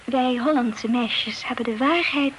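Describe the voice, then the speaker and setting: A woman acting a prim, playful young lady of good family in a period radio play. Her voice is very high, her manner formal and coy with gentle reproof. The old recording has clear noise. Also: measured pace, lightly acted. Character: well-bred young Dutch lady, prim, playful, modest.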